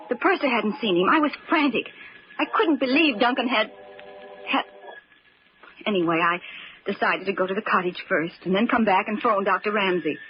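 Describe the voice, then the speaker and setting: An actress playing an anxious, protective young stepsister in an old-time radio mystery, earnest and a little bossy, hesitating when worried. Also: female voice, mid-range voice, lightly acted. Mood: troubled narration. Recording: muffled, noisy vintage radio recording.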